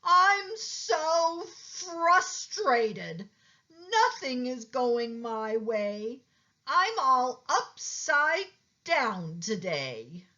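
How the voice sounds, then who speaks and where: Woman voicing a slow, high-pitched turtle. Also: big pitch swings, pushed and bright, dull audio, full character voice. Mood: frustrated. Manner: slow, drawn-out delivery. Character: frustrated upside-down turtle who cheers up.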